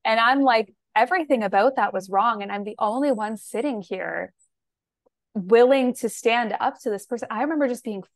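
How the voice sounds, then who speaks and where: A woman hosting an astrology podcast, telling stories in an animated, emphatic way with casual "like" fillers. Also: fairly high pitch, moderate pace, no room sound. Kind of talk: casual conversational chat.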